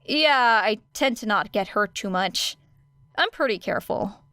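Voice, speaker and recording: A woman's voice: medium pitch, animated, unhurried, sharp tone, no echo. A woman voicing a polite, slyly innocent teenage schoolgirl with a meek, pleading manner in an audiobook. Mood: shy. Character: placid, apologetic teenage girl student, slyly innocent. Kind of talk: audiobook character acting.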